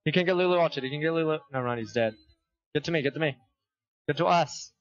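A man heard over voice chat, his voice a touch high and slightly muffled and dry. He reacts excitably to the game, bursting out and laughing with his friend. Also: fast, dry recording. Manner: excitable, loud outbursts, laughs. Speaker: teammate on voice chat. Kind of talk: casual gaming chat.

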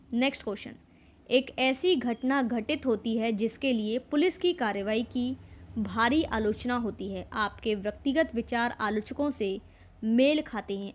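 Female exam-prep tutor, higher-pitched, methodical read-aloud of questions and answers.

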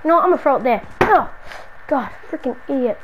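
High-voiced, slangy, exasperated city dweller, acted by a woman. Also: expressive, fast talker, background noise. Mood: casual muttering. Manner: slangy, muttering to self, then scolding. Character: exasperated city dweller hounded by a stalker friend. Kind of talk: improvised video game roleplay, casual slangy narration.

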